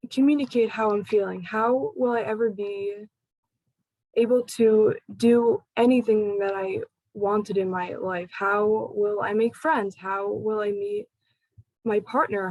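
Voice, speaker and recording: Young woman, slow and halting personal talk, dry close sound. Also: medium pitch, darkish tone, dry recording, rough recording. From United States. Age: young adult. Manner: slow, halting, pausing between phrases. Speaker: speaker sharing her personal story. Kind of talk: personal talk to a support group.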